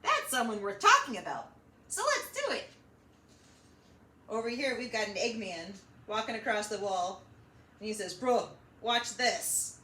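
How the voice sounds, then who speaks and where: A woman hosting a children's storytime, reading and chatting in an animated, encouraging way straight to young listeners. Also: medium pitch, measured pace. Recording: roomy echo, slight background noise.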